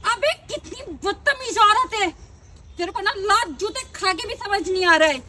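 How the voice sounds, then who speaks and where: A woman's voice: very high voice, moderate pace, sharp tone, recorded from a distance, slight background noise. A woman playing a haughty, spiteful office employee in a melodrama skit, scolding and full of scorn. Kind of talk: amateur Hindi melodrama skit acting.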